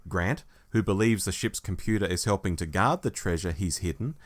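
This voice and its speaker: A man's voice: sits low, moderate pace. A man hosting a review podcast, reading out a prepared plot summary in a storytelling way. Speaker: podcast host.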